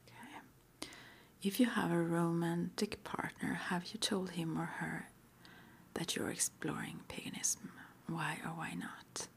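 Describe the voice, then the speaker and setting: A woman whispering breathily in an ASMR roleplay, gently and hesitantly asking questions like an interviewer. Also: lowish voice, flat delivery, unhurried. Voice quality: breathy whisper, mostly unvoiced.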